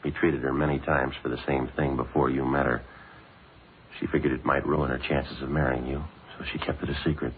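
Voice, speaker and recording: Terse police detective: very low American voice, rapid clipped delivery, businesslike and polite, vintage radio crime drama. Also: male voice, phone-quality sound. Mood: gently matter-of-fact. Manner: clipped, matter-of-fact, deadpan. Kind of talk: 1950s radio drama acting, understated. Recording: old band-limited radio broadcast, hissy.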